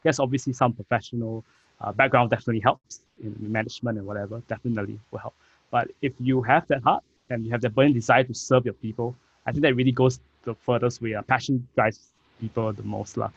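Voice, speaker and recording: A man's voice: mid-range voice, steady tone, quick pace, breathy edge, no room sound. A man of twenty-four with a Singaporean accent, chatting casually in a group discussion, recorded slightly dull and dry. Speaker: first-time voter and party volunteer on a discussion panel.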